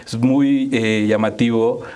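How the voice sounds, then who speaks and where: A man's voice: medium pitch, fairly even intonation, takes it slowly, darkish tone, smooth. A man in his thirties with a Mexican accent, giving conversational political commentary as a studio guest, often searching for words. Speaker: activist, panel guest. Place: small dark quiet studio, seated at table.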